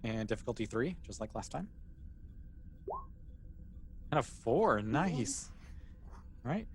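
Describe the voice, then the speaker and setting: A man running a tabletop game as game master, narrating casually and haltingly as he thinks aloud. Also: mid-range voice, big pitch swings, a little hiss or hum.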